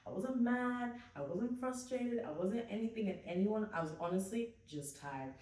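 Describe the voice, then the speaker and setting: A woman's voice: mid-range voice, moderate pace, a little mellow. Echoey young-sounding woman telling a story. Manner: storytelling, lots of fillers and asides. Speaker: podcast co-host. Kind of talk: casual faith chat. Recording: echoey room, mic at a distance.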